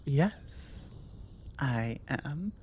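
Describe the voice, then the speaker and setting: A woman acting as a self-assured British vampire lady in an ASMR roleplay. She speaks slowly in a soft-edged, mellow tone, conversational and forward, leaving deliberate pauses between her phrases. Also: very deep voice, expressive, slight background noise, heavily acted. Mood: earnest, confiding.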